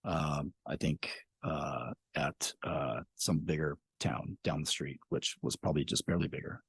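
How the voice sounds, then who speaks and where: A man's voice: very deep voice, a little slow, vocal fry, fairly bright tone, husky, dry recording. A middle-aged man, a podcast creator talking as a guest in a thoughtful, hesitant way. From Washington State. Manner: thoughtful, hesitant, many fillers and restarts. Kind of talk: casual interview chat.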